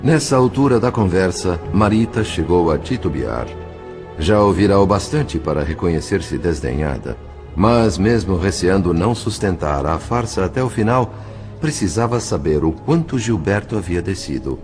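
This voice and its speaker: Low-voiced Brazilian male narrator over music. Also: lively intonation, darkish tone. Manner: formal, measured, dramatic phrasing. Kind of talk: scripted audio drama narration.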